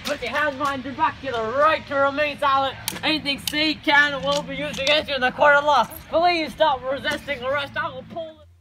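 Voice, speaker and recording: A man's voice: sits high, very animated, brisk, sharp tone, roomy sound, slight background noise, heavily acted. A man playing a bossy, blustering police officer in an amateur crime skit, with a theatrical, commanding delivery.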